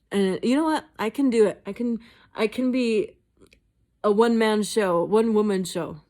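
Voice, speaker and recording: Slow, rambling, sweary woman vlogger. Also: medium pitch. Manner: sweary, rambling, self-mocking. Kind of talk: casual chat to camera. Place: indoors at home.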